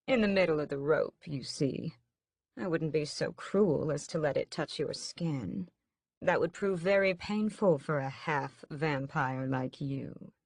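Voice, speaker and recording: A woman's voice: deep voice, a little slow, a little mellow, no echo. A woman voicing a suave, sinister male vampire captor who drawls with languid, mocking menace in an audiobook. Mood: smug, languid.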